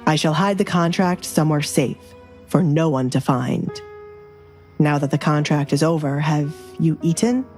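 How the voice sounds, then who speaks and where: A woman's voice: deep voice, moderate pace, a little mellow, soft background music, pitched below the performer's own voice, lightly acted. A woman voicing a dangerous nobleman duke in an audio romance, formal, commanding and dryly teasing. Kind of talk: dramatized audio romance dialogue.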